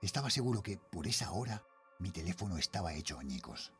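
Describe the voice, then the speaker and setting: Low-voiced, haunted middle-aged father. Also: sing-song, over loud music. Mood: troubled, tense. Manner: grave, brooding, dropping into slow hushed phrases. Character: haunted middle-aged father, shaken security guard narrating his horror. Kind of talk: dramatic first-person horror audio fiction narration.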